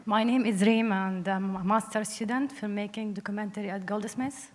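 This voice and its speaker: A young woman with a Syrian Arabic accent speaks earnestly in halting English from the floor of a panel. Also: medium pitch, steady tone, darkish tone. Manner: halting non-native English. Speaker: audience member, film student. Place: large panel hall, public address microphone. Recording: echoey hall with long reverb.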